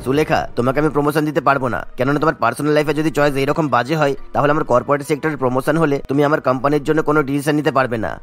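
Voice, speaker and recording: A man's voice: a little high, steady tone, rapid-fire, hardly any pauses, faint music behind, close to an everyday voice. A man playing a pompous, scornful office boss in a cartoon, lecturing haughtily and dropping in English business words. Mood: indignant, scornful. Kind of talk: cartoon character acting.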